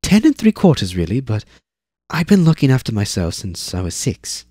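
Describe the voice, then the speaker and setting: A man's voice: medium pitch, wildly swooping pitch, moderate pace, no room sound, clean studio sound, pitched above the performer's own voice. A man voicing an eleven-year-old girl laborer, plucky and matter-of-fact. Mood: eager. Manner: bright, eager, talkative.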